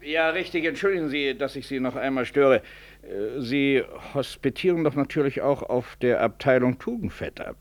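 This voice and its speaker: An actor playing an officious, insinuating medical professor in a 1960s radio drama, formal and probing in manner. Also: male voice, medium pitch, normal speed, natural voice. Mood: sly, probing. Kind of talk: 1960s German-language radio drama acting.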